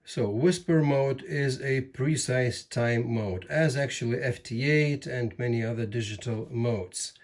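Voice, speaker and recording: A man giving casual instructional talk as a hobby video host, in a halting way with repeated words. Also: mid-range voice. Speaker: amateur radio video host.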